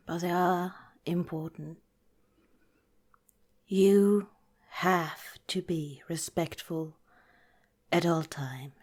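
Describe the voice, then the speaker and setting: A woman acts out a protective female vampire girlfriend for ASMR. Her voice is fairly low and very slow, soft and slipping into whispers. She sounds earnest and careful, as if giving serious warnings to someone dear. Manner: soft, deliberate, breathy whispering in places. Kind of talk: ASMR roleplay, partly whispered.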